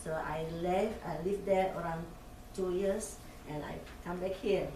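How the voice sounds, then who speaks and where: A woman's voice: on the low side, a little slow, dark tone, far from the mic. Woman in an echoey room. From Indonesia. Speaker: college staff member speaking at public comment. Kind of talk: informal public introduction. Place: council meeting room. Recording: echoey room picked up from afar, clear noise.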